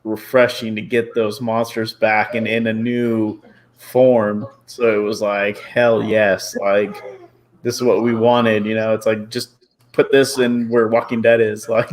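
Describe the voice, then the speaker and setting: A man's voice: fairly low pitch, little pitch movement, unhurried. Enthusiastic man in his early thirties. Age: 30s. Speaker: podcast panelist. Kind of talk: casual chat.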